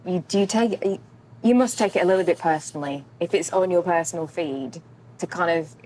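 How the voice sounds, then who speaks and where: A woman's voice: lowish voice, brisk, darkish tone. A woman interviewer chatting casually, putting her questions in a tentative, sympathetic way.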